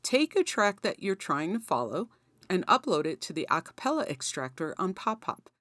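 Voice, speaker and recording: A woman's voice: lowish voice, big pitch swings, normal speed, fairly bright tone, no echo, studio quality. A woman hosting a tutorial, explaining things in a conversational, instructional way. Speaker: host of songwriting tutorial.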